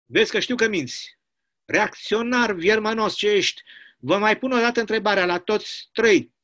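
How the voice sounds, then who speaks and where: A man's voice: high-pitched, sharp tone, voice pushed up. A man voicing a bullying, indignant communist prison governor in a stage play, interrogating with menacing emphasis. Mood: threatening.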